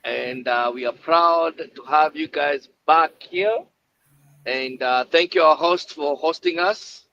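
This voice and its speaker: A man's voice: a little high, takes it slowly, bright, forceful tone. A man with an East African accent, speaking informally as a coach on a live show. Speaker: coach, co-presenter. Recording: dull lo-fi sound, light background noise.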